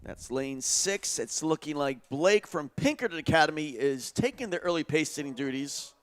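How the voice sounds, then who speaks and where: Live track commentator: man with a higher-set voice, conversational delivery that builds with the race. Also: lively intonation, normal speed.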